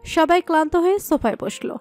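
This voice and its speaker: Very high-pitched woman storyteller. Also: lively intonation, fast talker, darkish tone, no echo. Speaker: story narrator. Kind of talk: scripted narration of a romantic audio story. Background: background music.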